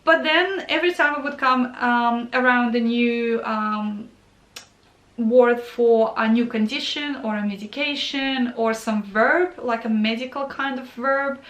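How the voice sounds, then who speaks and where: Brief: young woman, Ukrainian accent, English second language, casual nurse vlog, slightly high voice, echoey room. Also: a little slow, a bit bright. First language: not English. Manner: conversational, many fillers and self-corrections. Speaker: nurse answering viewer questions.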